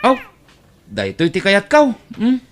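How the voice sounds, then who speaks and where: A woman's voice: medium pitch, huge pitch swings, slow pace. A woman playing a put-upon, resentful young family driver, hesitant and plaintive, in an audio drama. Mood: hesitant, meek. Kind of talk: Ilocano audio drama acting.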